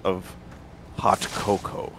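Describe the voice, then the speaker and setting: A man's voice: takes it slowly, noisy background. A young man giving casual, joking gameplay commentary with sarcastic banter. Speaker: game streamer. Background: loud game music, gunfire-like game effects.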